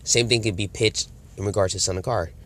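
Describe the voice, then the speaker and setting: A man's voice: fairly low pitch, fairly even intonation, quick pace, fairly bright tone. A man with a cold and a slightly nasal voice, coaching on sales in a casual talk, acting out customer and salesperson lines. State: congested from a cold. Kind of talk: casual instructional talk to camera.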